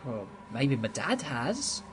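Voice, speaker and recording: A man's voice: mid-range voice, huge pitch swings, takes it slowly. A young man playing a friendly, helpful vicar's son in a radio drama, with a polite, slightly hesitant manner. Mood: friendly, doubtful. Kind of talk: amateur radio drama acting. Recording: faint background noise.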